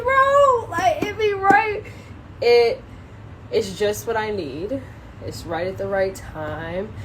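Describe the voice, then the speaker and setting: Young woman chatting casually, noisy background. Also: mid-range voice. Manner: informal, slangy, rambling; hesitant, self-conscious. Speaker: livestreamer introducing a book reading. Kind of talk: casual, unscripted livestream chat.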